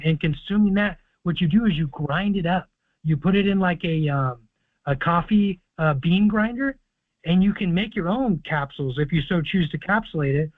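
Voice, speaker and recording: A man's voice: high voice, dry recording. A man hosting a conference call, confidently explaining things at length with plenty of fillers. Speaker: host of a call-in conference line. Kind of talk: casual call-in advice talk. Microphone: phone or conference call. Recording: tinny conference phone line.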